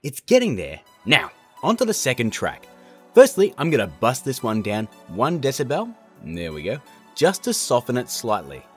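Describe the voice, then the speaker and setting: Lively, informal male tutorial host. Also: mid-range voice, moderate pace, slightly rough, soft background music. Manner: chatty, informal, jokey. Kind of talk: casual software tutorial narration.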